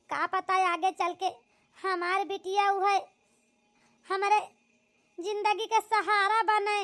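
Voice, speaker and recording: A woman's voice: extremely high pitch, a bit bright, full character voice. A woman voicing a doting, hard-working widowed village mother in a cartoon, with an Awadhi accent and a plaintive, coaxing rustic delivery. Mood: earnest, determined. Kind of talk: homemade cartoon comedy drama dialogue.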